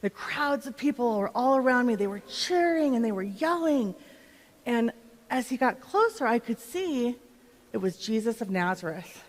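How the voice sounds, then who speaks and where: An eager Biblical eyewitness acted by a woman tells her story in a church drama, addressing listeners directly. The voice sits a little high and rings in a large hall. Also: expressive, moderate pace. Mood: awed, eager. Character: excited woman of Bethany, Lazarus's sister, witnessing Jesus. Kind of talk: dramatic monologue in a church performance. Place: church, live performance. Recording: reverberant hall.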